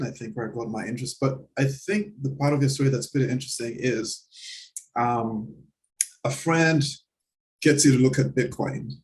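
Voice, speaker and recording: A man with a middling voice hosts a relaxed interview. He encourages his guest with frequent "mm-hmm" and rephrases his questions as he speaks. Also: mid-range voice, poor audio. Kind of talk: conversational interview.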